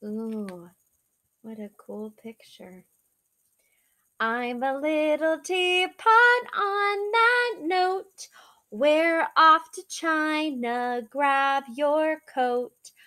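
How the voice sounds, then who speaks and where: A young woman reading a children's picture book aloud in an animated, drawn-out, singsong way, like a teacher talking to small children. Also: high voice. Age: young adult. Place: small living room, seated in an armchair indoors.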